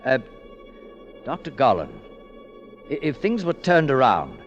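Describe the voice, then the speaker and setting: Troubled mountaineer, swinging pitch, old radio. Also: male voice, wildly swooping pitch, a little slow, lo-fi sound, soft background music. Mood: subdued, doubtful. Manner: hesitant, reassuring, understated. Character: weary, troubled English-named invalid mountaineer, gentle and self-doubting. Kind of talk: 1940s radio drama acting. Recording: noisy 1940s radio broadcast.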